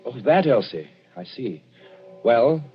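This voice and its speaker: An actor playing an anxious, irritable gentleman doctor, stammering and agitated, in a 1940s radio drama. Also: mid-range voice, very animated, slow. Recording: dull vintage 1940s radio recording, music.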